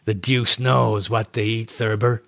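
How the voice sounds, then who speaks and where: A man's voice: lowish voice, flat delivery, a little slow, vocal fry, fairly bright tone, no room sound, studio quality. Man playing sinister, grinning, sardonic painter. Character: sinister grinning male painter, flippant and morbid.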